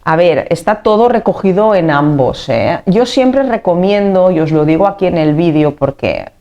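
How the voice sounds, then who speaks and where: Casting: female teacher, accent from Spain, low-pitched soft-toned voice, informal lecture delivery, didactic and emphatic on key points. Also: lively intonation, normal speed, muffled recording. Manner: didactic, emphatic on key points, checks understanding with tag questions. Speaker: teacher for civil service exam candidates. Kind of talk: informal explanatory video lecture on law.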